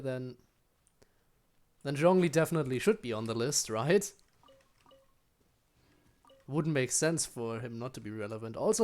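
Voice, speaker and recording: Wry male streamer with lively intonation. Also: fairly high pitch. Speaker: game streamer. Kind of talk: casual live gameplay commentary.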